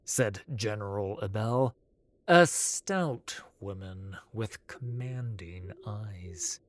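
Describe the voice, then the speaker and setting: A man's voice: on the low side, extremely slow. A man reading a scripted fantasy story as narrator.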